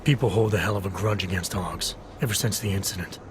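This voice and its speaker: An actor playing a terse, skeptical detective in a video game, probing in a clipped, dry, challenging manner. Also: male voice, deep voice, fast talker, background noise, lightly acted. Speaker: Interpol investigator. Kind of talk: video game cutscene dialogue. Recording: background music under dialogue.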